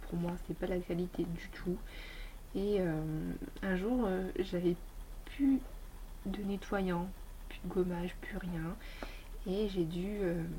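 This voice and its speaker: A woman's voice: fairly low pitch, noisy background. Chatty woman with a head cold. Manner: chatty, rambling, easygoing. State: slightly sick with a head cold. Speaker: beauty vlogger. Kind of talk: casual product review chat.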